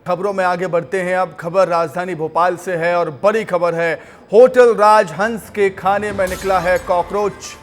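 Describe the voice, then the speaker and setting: A man reading television news as an anchor. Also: high voice. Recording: music bed under the voice. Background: news music.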